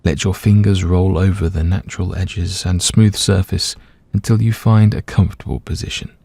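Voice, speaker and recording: A man gently and calmly leading a scripted guided meditation, letting his voice soften at the ends of phrases. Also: deep voice, normal speed, gravelly, no echo. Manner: gentle, calm, soothing, hushed at phrase ends.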